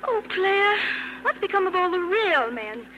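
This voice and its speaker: A restless young upper-class English woman in a radio play. Her voice sits high, spirited and earnest. The vintage 1950s recording is noticeably noisy. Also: sing-song, measured pace, muffled recording, exaggerated voice. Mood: restless, exasperated. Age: young adult. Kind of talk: 1950s radio drama acting.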